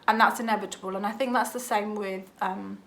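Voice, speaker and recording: A woman in her twenties, a junior doctor, chatting candidly to camera with a croaky voice from a cold, often saying um and like. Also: medium pitch, fairly even intonation, fairly quick, a bit bright. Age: 20s. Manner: chatty and candid, many ums and likes. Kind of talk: casual vlog talk to camera.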